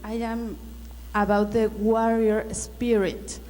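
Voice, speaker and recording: A woman's voice: fairly high pitch, little pitch movement, dark tone. A woman from Mexico, a native Spanish speaker with a Mexican accent, asking a question from the audience and hunting for her English words. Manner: halting, searching for words. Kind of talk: audience question at a fan convention. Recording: reverberant hall with faint noise.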